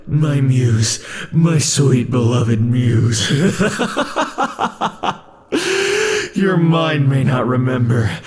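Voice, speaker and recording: A man voicing an obsessive, possessive immortal lover in an ASMR roleplay, speaking softly and slowly with dark chuckles, shifting between tenderness and threats. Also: medium pitch, wildly swooping pitch, a crowd in the background, exaggerated voice. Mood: tender menacing.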